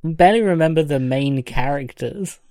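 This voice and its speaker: A man's voice: a little high, moderate pace, darkish tone, no room sound. Man bantering casually, close dry sound. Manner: jokey, acts out mock dialogue. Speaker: podcast panelist. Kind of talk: casual comedic film discussion.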